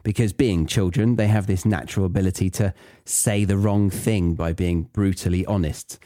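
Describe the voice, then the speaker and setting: A man's voice: low voice, steady tone, moderate pace, warm tone, no echo, clean studio sound. A British man hosting a podcast, chatting casually and explaining things to listeners. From Britain. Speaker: podcast host teaching English learners. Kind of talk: casual solo podcast talk.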